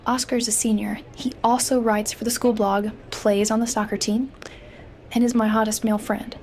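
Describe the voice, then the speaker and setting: A wry, bookish teenage girl , played by a woman, narrates a comic dub in a fairly high voice. She is introspective and self-conscious, reading scripted first-person story lines over faint noise. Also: level tone, moderate pace, a little mellow, close to an everyday voice. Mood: wistful, self-doubting. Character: self-conscious bookish fifteen-year-old girl, sardonic student narrator.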